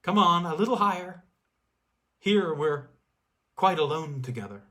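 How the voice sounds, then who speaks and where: A man's voice: lively intonation, a little slow. A man voicing an alluring young female snow spirit who teases and beckons in a storybook reading. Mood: teasing, coaxing. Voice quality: low, whispering, soft.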